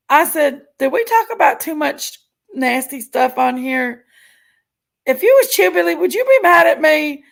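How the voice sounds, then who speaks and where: Giggly creaky-voiced woman in her forties. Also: sits high, fairly bright tone. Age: 40s. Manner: chatty, frank and giggly. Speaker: livestream host. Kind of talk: casual chat reading viewer comments.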